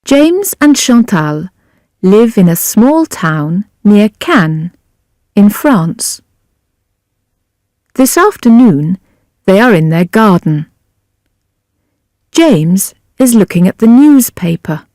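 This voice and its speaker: A woman narrates a learners' storybook with great care over every word. Her delivery is extremely slow, yet the pitch moves in a lively way. The tone is mellow and the sound is close and dry. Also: no echo. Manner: slow, deliberate, carefully enunciated. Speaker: audiobook narrator. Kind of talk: scripted narration of a graded language-learner story.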